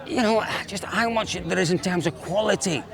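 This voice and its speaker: Jovial Scottish presenter outdoors. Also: male voice, high voice, moderate pace, vocal fry, fairly bright tone. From Scotland. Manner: jovial, joking, enthusiastic. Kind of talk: chatty on-location presenting. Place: outdoor rural market.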